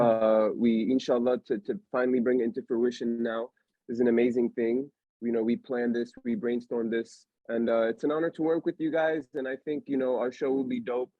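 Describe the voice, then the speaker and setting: A man's voice: mid-range voice, no room sound. Slangy young man, dull dry sound. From Allentown, Pennsylvania. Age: young adult. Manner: rambling, slangy, many fillers. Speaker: podcast host. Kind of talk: casual chat.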